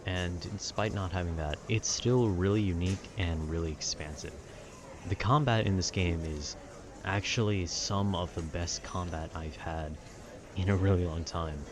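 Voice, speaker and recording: A man with a very deep voice rambles in a casual, conversational way. He sounds nerdy and meanders from thought to thought like a gamer chatting. Clear ambient noise runs behind his voice. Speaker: content creator. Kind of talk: casual rambling monologue about video games.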